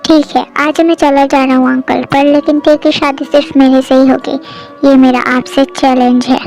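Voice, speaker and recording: A high young voice playing a pleading lovesick young man. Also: flat delivery, moderate pace, a little mellow, faint music behind, rough recording. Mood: defiant. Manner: pleading, reassuring. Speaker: voice actor in a fan drama. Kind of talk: amateur voice drama.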